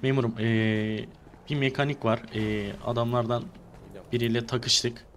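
A man's voice: medium pitch, flat delivery, a little slow, slight background noise. A man chatting casually in role-play as he streams an online game.

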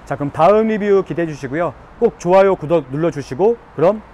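A man's voice: a little high, animated, fairly quick, dark tone, slight background noise. A man, presenting a product review to camera as a video host. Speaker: fashion review YouTuber. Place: outdoors by a concrete wall on an urban street.

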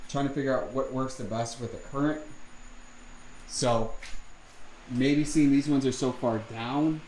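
A man's voice: mid-range voice, a little mellow, echoey room, a little hiss or hum, poor audio. A man casually explaining a jet ski part swap, thinking aloud with lots of fillers, recorded on a camera in his home garage. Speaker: vlogger. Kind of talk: casual how-to vlog.

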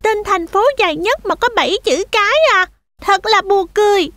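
A woman's voice: very high voice, moderate pace, sharp tone, dry recording. A woman voicing a playful, helpful goat kid in a children's cartoon. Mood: amused, puzzled.

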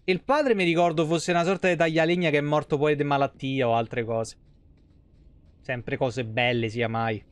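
Higher-voiced young man chatting while gaming. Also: steady tone, normal speed, clear, resonant tone, no room sound. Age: young adult. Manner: playful, foul-mouthed chatter. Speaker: live game streamer. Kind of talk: casual gameplay commentary.

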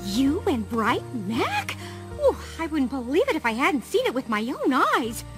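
A woman voicing a friendly, excitable female pony in a cartoon, bubbly and effusive. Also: sits high, measured pace, full character voice. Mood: amazed, gushing. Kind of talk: cartoon voice acting. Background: music.

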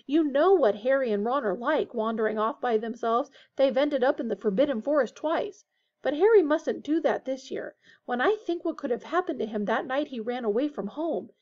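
A woman's voice: high voice, normal speed, darkish tone, dull audio. A woman reading a storybook and voicing an earnest, protective middle-aged father who speaks insistently. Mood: heated, worried.

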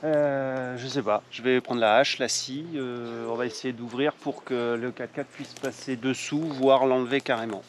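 A man's voice: medium pitch, normal speed, few pauses. A man in his thirties, talking casually with many hesitations in a travel vlog, from the driver's seat and on a forest track. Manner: relaxed, hesitant with many fillers. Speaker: vlogger and 4x4 driver. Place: in a car and outdoors on a forest track. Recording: distant from the mic, noisy outdoors.

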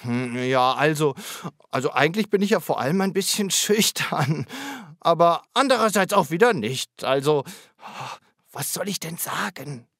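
Higher-pitched, lively cartoon zebra. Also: male voice, moderate pace, vocal fry, no echo, studio quality, exaggerated voice. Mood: shy, hesitant. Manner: hesitant, rambling, childlike enthusiasm. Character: shy yet proud, friendly talking zebra, former crosswalk. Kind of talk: children's audio drama acting.

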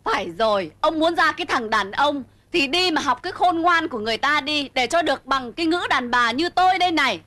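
Sharp, high-pitched nagging she-wolf. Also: lively intonation, a little fast, few pauses. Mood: scornful. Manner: nagging, scornful. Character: scolding, sharp-tongued wife of an old wolf. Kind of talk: cartoon voice acting.

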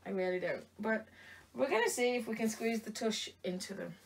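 A woman's voice: on the high side, lively intonation, a little fast, creaky, slight background noise. Playful woman, tired, from across a bedroom. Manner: playful, talks to someone off camera, repeats herself teasingly. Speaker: vlogger. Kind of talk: chatty try-on vlog. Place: bedroom indoors. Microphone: camera across the room. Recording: voice from a distance.

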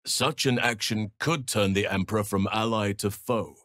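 Guy doing a solemn gentle druid for a fantasy game, lowish voice, slow n formal, real dry sound. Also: dry recording, lightly acted. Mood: calm, grave. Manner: measured, formal, archaic fantasy phrasing. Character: stoic, earnest druid man devoted to nature, gentle and solemn. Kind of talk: video game character voice lines.

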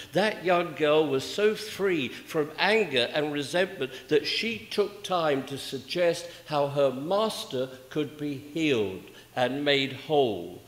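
An older man preaching a sermon in a measured, rhetorical manner, with emphatic pauses and repeated phrases. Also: fairly high pitch, fairly bright tone. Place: indoors, small church at a pulpit. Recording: echoey church with long reverb.

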